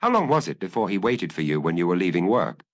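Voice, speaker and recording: A man voicing a calm, terse police inspector with a measured, probing, noncommittal manner in an audiobook. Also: deep voice, no room sound, clean studio sound.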